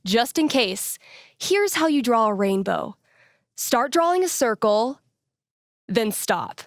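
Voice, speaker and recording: A woman's voice: fairly high pitch, no echo, studio quality. An actress playing a wry, playful young American woman in a video game, with casual radio DJ patter and a teasing way of talking. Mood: upbeat, wry.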